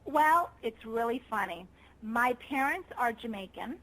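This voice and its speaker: A woman's voice: on the high side, lively intonation, a little slow, fairly bright tone, rough recording. A woman from Staten Island, New York, with an American accent, chatting casually and readily as an interview guest. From Staten Island, New York, United States. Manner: chatty, prone to laughing. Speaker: pop singer, interview guest.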